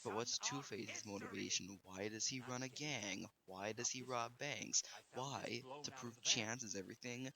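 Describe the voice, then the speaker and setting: A man's voice: mid-range voice, measured pace, gravelly, crowd noise. A man narrating a scripted video review in a critical, emphatic manner.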